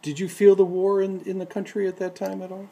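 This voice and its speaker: Measured male radio host. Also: high-pitched, little pitch movement, creaky, mellow, husky. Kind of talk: radio interview and introduction.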